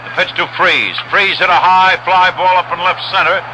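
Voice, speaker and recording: An American man calling baseball play-by-play for 1960s radio in measured, short, repetitive phrases. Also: high voice, steady tone, muffled recording. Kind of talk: 1960s radio sports commentary. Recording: noisy old 1961 radio ballpark broadcast. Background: loud stadium ambience and noise.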